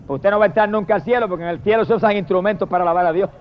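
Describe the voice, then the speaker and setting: Male evangelical preacher, high register, fervent declamatory delivery with drawn-out praise, on a dull low-fidelity recording. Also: slight background noise. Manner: emphatic and declamatory, drawing out exalted phrases, addressing listeners directly.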